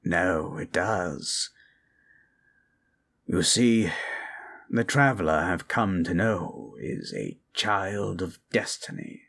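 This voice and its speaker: Man playing a deep, slow, solemn ancient lich. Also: expressive, gravelly, a bit bright, heavily acted. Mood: grave, intent. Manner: solemn, formal, inverted phrasing. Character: grave ancient male lich, stern and scheming mentor. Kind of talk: fantasy audio roleplay, improvised.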